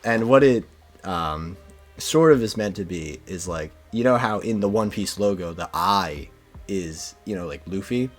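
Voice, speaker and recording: A man's voice: sits low, measured pace, faint music behind. A man in a casual podcast chat, explaining things hesitantly with plenty of fillers.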